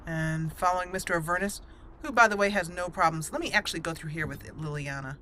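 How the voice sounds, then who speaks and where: A woman's voice: lowish voice, quick pace, bright, forceful tone, a little hiss or hum. A woman hosting a gaming channel, giving casual gameplay commentary in a chatty, playful way. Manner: chatty, playful, laughs at her own jokes. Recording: faint game music behind.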